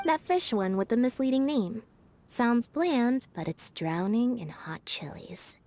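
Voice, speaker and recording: Outspoken youngster for a game: high, animated, expressive and fussy. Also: female voice, a little slow. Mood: grumbling. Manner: expressive, complaining, pleading. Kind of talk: English video game voice acting.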